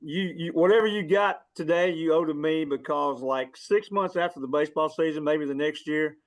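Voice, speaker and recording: Male guest, fairly high voice, casual conversational storytelling. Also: moderate pace. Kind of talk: casual chat.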